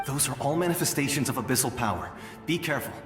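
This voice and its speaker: An actor playing a gentle, regretful male ghost in a video game, an earnest and protective guide. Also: medium pitch, animated, fast talker, natural voice. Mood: reassuring. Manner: earnest, reassuring. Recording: echoing reverberant effect, music behind.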